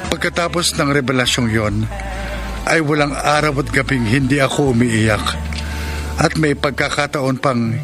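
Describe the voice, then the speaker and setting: A man's voice: medium pitch, normal speed, music in the background, natural voice. An actor playing a heartbroken young man who feels betrayed, in a radio drama. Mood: grieving. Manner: emotional, wounded, accusing. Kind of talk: broadcast radio drama acting.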